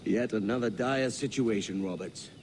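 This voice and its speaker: A brash young pirate captain from a video game acted by a man, hungry for fortune. His voice sits fairly low, with faint noise behind it. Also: moderate pace, a little mellow, natural voice. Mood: wry. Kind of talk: video game cutscene acting.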